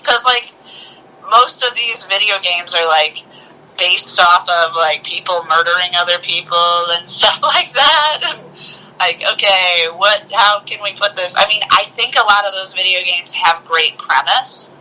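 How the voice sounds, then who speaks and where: A woman co-hosting a casual movie discussion, talking in an excitable way and acting out little quotes. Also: lowish voice, a little slow, poor audio. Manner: excitable, acts out little quotes as she talks. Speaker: co-host of a movie review show.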